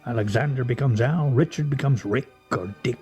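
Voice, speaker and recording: An actor playing a pedantic, grumbling husband in a comedic radio play, with pompous, wordy, fussily articulate delivery. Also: male voice, mid-range voice, normal speed, dark tone, faint music behind, close to an everyday voice.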